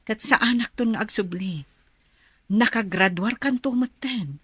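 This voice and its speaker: A woman's voice: a little high, unhurried, breathy edge, no room sound. Protective Filipino mother, emotive delivery. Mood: tender. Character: protective middle-aged mother, caring but firm. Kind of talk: Ilocano radio drama acting.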